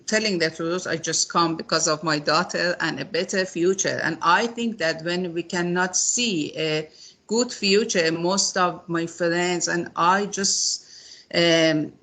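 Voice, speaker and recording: A woman conducts an interview at an unhurried pace, her voice bright and a little sharp. She speaks in a measured, hesitant way, restarting her phrases. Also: fairly low pitch. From Iran. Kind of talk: interview conversation in English.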